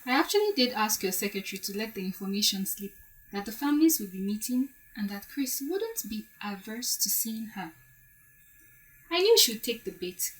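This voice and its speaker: A woman acting a cool, defiant and quietly vengeful young bride-to-be in an audio drama. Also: medium pitch, big pitch swings, moderate pace, close to an everyday voice, rough recording. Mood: coolly defiant.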